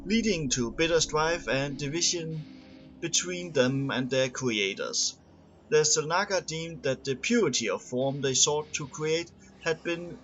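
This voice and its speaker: A man with a mid-pitched voice reads lore aloud as narration, with music playing behind him. His reading is a little halting, with words caught and repeated like an amateur narrator's. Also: a bit bright, rough recording.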